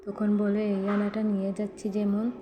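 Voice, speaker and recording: A woman's voice: mid-range voice, completely flat delivery, moderate pace, highs cut off, lightly acted. A woman voicing a stubborn but affectionate young man, a doting older brother, in plain scripted cartoon dialogue.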